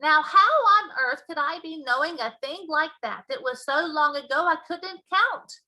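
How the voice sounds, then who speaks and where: A woman voicing an old, friendly but grand and indignant male giant in a children's storybook, with emphatic drawn-out words and mangled grammar. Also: high-pitched, expressive, bright, forceful tone, pitched above the performer's own voice, full character voice. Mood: explaining. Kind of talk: children's storybook read-aloud.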